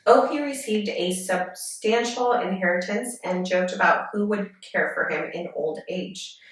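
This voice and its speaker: Lady podcast host chatting, super echoey room, sounds kinda far from the mic. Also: on the low side. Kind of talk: casual chat and summarizing forum posts.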